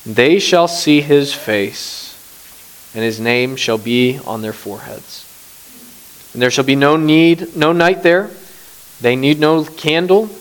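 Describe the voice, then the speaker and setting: A man's voice: mid-range voice, low-quality recording. A middle-aged man who grew up in Bangladesh, preaching a sermon with scripture reading and prayer in an earnest manner that rises to emphasis. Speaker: pastor.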